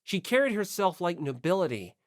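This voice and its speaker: Casting: story narrator with expressive, varied pitch, reading scripted prose in a dry space. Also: brisk, no echo. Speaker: audiobook narrator.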